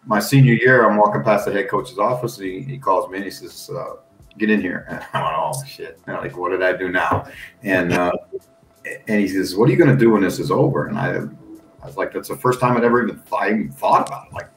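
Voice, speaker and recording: A middle-aged American man, a football coach and analyst, telling stories in an animated, folksy way and laughing along. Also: low-pitched, quick pace, reverberant, soft background music, rough recording. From United States. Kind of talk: casual storytelling on a podcast.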